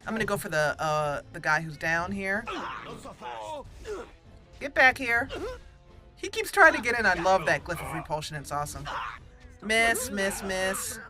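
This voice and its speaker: A woman's voice: mid-range voice, sing-song, slow, long pauses, over music. A woman giving casual, chatty commentary as she plays and roleplays a game, talking to the characters. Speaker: host of a roleplay game playthrough.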